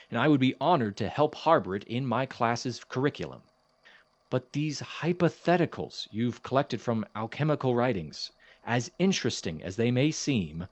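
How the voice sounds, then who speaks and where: Man playing a cautious professor with lively intonation. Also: medium pitch, no echo. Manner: formal, precise, hesitant, gently reproving. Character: measured, kindly, cautious male science professor. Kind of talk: audio drama acting.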